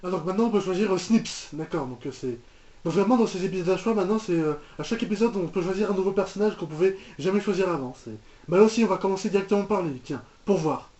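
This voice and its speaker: High-voiced young man reacting, halting and incredulous. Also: lively intonation, darkish tone, lo-fi sound. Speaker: reaction video host. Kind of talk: casual reaction commentary.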